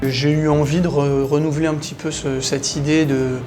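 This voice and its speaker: Male interviewee from the Paris region, fairly high voice, hesitant conversational delivery, faint music behind. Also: little pitch movement, normal speed, a little mellow. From Paris region, France. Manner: hesitant, searching for words. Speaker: interviewee, café-gallery owner. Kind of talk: casual documentary interview.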